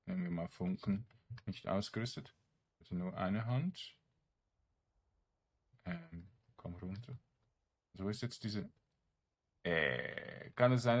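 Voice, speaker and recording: A man giving casual let's play commentary, hesitantly thinking aloud as he plays. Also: deep voice. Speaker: gamer, let's play host.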